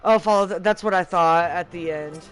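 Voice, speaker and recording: Man, game streamer, casual, excitable, high voice, game music behind. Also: normal speed, smooth, dry recording. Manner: loud, excitable, chatty. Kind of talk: casual live gameplay chat.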